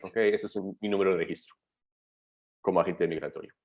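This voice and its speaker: Man, low voice, muffled webinar audio. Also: fairly even intonation. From Australia. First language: English. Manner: halting, with many fillers and self-corrections, checks in with "¿ok?". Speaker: migration agent presenting a webinar. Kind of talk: explanatory webinar presentation.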